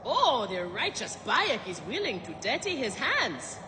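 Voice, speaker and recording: Casting: cocky game gladiatrix, slow swinging delivery, playful swagger, theatrical, over loud background noise. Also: female voice, fairly high pitch, sing-song. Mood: wry, hopeful. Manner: theatrical, teasing, laughing. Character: boisterous swaggering woman gladiator, former slave, teasing friend. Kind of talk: video game cutscene acting. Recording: echoey hall reverb, loud background noise.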